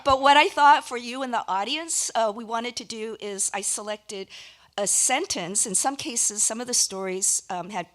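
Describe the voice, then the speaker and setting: A woman's voice: fairly high pitch, moderate pace, fairly bright tone. Woman judge speaking conversationally at an awards ceremony. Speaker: contest judge. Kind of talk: public speech at awards ceremony. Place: live event before an audience.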